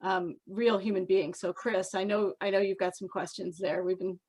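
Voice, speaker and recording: Chatty woman hosting, mid-pitched. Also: flat delivery, moderate pace, a little mellow. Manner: chatty, informal. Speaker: podcast host. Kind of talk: casual conversational hosting. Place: online video call.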